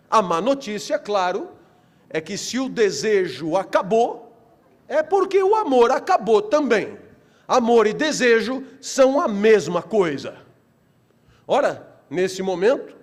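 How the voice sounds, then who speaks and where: A middle-aged Brazilian man giving a philosophy lecture with theatrical flair, asking rhetorical questions and repeating phrases for emphasis. He speaks in slow, deliberate phrases that build to loud, animated peaks. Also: sits high. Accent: Brazilian Portuguese.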